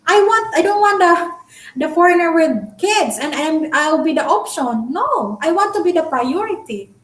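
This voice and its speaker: A woman's voice: very high-pitched, expressive, a little slow, echoey room, rough recording. A talkative, candid woman from the Philippines with a Filipino accent, whose first language is Bisaya, chatting casually with viewers on a live stream. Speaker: vlogger answering live chat.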